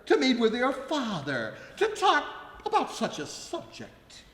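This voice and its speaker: A man's voice: very high-pitched, wildly swooping pitch, fairly bright tone, exaggerated voice. A man playing a smug, pompous suitor in a comic radio play, condescending and grandly theatrical. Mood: grandiose, gallant. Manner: pompous, drawn-out delivery. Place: live on stage in a ballroom. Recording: echoey ballroom hall, live stage.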